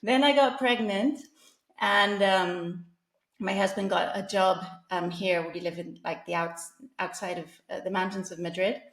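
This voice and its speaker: Gravelly-voiced woman guest, chatty. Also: medium pitch, steady tone, a little slow, a bit bright, echoey room. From England. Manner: chatty, frequent fillers and restarts, laughs often. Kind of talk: casual interview chat.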